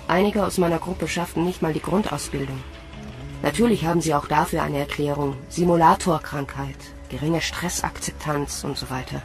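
A young woman playing a weary, cynical space recruit narrating a German sci-fi audio drama in a matter-of-fact, sardonic way. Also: deep voice.

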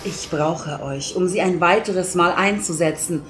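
A woman playing a grave, regal pony princess with a solemn, formal, measured delivery in an audio drama. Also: on the low side, soft background music, close to an everyday voice. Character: grave, regal pony princess, a long-reigning female ruler. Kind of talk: fan audio drama acting.

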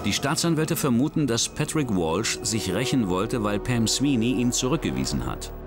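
A man narrating a crime documentary in a measured, dramatic scripted style. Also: mid-range voice, sing-song, music in the background.

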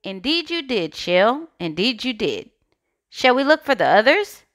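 A woman voicing a playful, excitable egg with a theatrical manner in a read-aloud picture book. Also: on the high side, unhurried, voice pushed down. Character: Greg, playful excitable egg who loves hiding. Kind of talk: storybook character read-aloud.

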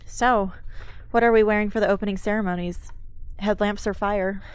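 A woman's voice: mid-range voice, steady tone, normal speed, smooth, lightly acted. A young woman playing a blunt, guarded teenage girl in an amateur radio drama, with a terse, dry delivery. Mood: impressed, dry. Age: young adult. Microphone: close studio microphone.

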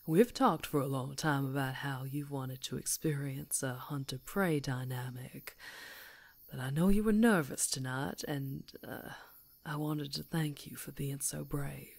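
A woman playing a female werewolf in an intimate ASMR roleplay, predatory yet tender, coaxing in a breathy voice that often drops to whispers. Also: very deep voice, a little slow, a little mellow, exaggerated voice. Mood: soothing, tender.

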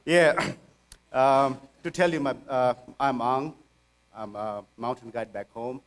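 A man's voice: mid-range voice, fairly even intonation. Nervous middle-aged man at a stage mic. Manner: nervous, halting, laughs shyly. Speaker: mountain guide. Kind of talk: acceptance speech. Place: stage in a dark event venue. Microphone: stand mic.

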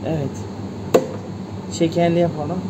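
A man's voice: on the high side, flat delivery, unhurried, background noise. A man in his thirties hosts a step-by-step cocktail demonstration at a hotel bar and plainly narrates each step as he works. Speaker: bartender host.

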